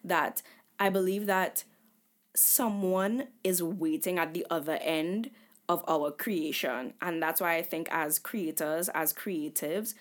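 A woman co-hosting a podcast with a fairly low voice. She speaks in an earnest, reflective way, thinking things through as she talks. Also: slow. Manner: earnest, reflective, wraps up the episode. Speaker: podcast co-host. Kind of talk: casual chat.

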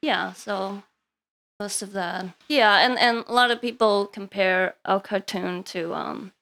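A woman from Taiwan, a cartoon animator guest, speaking hesitantly with many ums and soft laughs. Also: mid-range voice, a little slow. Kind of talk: casual interview chat.

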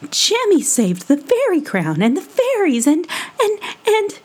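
A woman voicing a gentle female mourning dove who sounds eager and hopeful, in a storybook reading. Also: very high voice, normal speed, voice pushed up.

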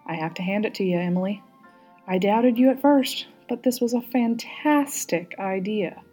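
A commanding female prime minister in a storybook, haughty and loosened by drink, as a woman narrator plays her. The voice is mellow and husky, with music faintly behind. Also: medium pitch, normal speed, natural voice. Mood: tipsy delight.

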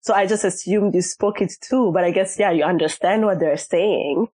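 A woman's voice: on the low side, normal speed, darkish tone, slightly rough, dry recording. A woman hosting a podcast, chatting casually and informally with her guest as if with a girlfriend. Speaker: podcast host interviewing a guest.

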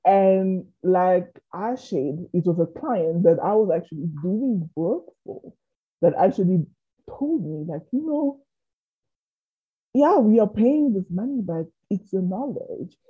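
A woman's voice: medium pitch, expressive, slow, husky. A woman from South Africa, a business coach, answering interview questions in an earnest and open way. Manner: earnest, openly sharing. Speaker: business and marketing coach, guest. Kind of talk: conversational interview. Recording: tinny phone-like line.

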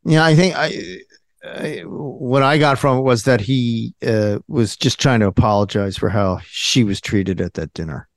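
A man's voice: mid-range voice, unhurried, dry recording. A man from New Jersey hosting a casual interview podcast, talking in loose restarts and fillers. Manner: conversational, trailing restarts and fillers. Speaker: podcast host.

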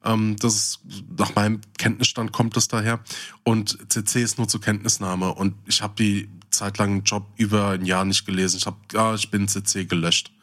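A man's voice: lowish voice, flat delivery, moderate pace, fairly bright tone. A man hosting a podcast, telling anecdotes in a casual, slangy, jokey way. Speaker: podcast host. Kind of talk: casual comedic chat and anecdotes.

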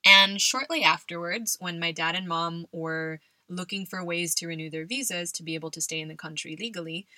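Bright-voiced woman podcast host. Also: on the low side, a little fast, hardly any pauses, no echo. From California. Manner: warm, conversational, laughs often. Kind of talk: personal storytelling podcast.

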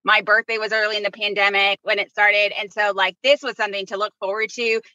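A woman's voice: medium pitch, little pitch movement, quick pace, sharp tone, no echo, low-quality recording. A woman guest on a podcast, talking in an earnest, chatty way. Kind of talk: casual chat.

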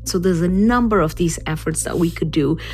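A woman speaking as an expert guest in an interview, explaining science in a measured way. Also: lowish voice, a little mellow, soft background music.

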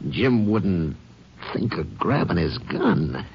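A man's voice: low voice, big pitch swings, slow, creaky, husky, heavily acted. A man playing a folksy, plain-spoken Texas sheriff with a Southern US drawl in a 1950s radio western. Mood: grim, musing. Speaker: supporting actor in a radio western. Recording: noisy, muffled old radio recording.

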